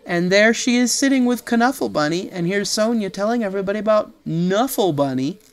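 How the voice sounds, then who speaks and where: A woman's voice: medium pitch, animated, fairly quick. A woman reading a children's picture book aloud, chattily explaining the pictures with casual asides. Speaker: read-aloud storyteller.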